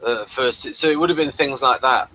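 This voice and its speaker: A man's voice: medium pitch, fast talker, bright, forceful tone, no echo, poor audio. A man hosting a casual review chat, explaining things and giving his opinions. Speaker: podcast host reviewing classic TV episodes.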